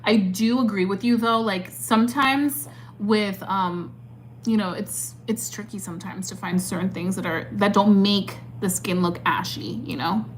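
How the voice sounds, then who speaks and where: A woman's voice: mid-range voice, fairly even intonation, a little slow, a little hiss or hum. A woman in her twenties chatting casually while doing her makeup and answering live chat, upbeat, with plenty of "like" and "um". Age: 20s. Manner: chatty and upbeat, filler-heavy with many "like" and "um".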